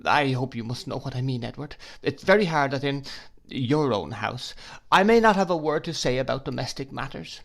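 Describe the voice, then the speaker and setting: Tearful, pleading young wife with a high, fluttering, lively voice, as a male reader puts her on. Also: moderate pace, gravelly.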